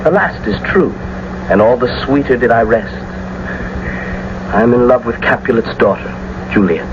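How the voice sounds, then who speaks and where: A man's voice: mid-range voice, lively intonation, normal speed, natural voice. An actor playing an impetuous young nobleman in love, speaking with poetic fervor in a classical radio drama. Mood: eager. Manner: poetic, fervent, theatrical delivery. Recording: muffled, noisy old radio broadcast recording.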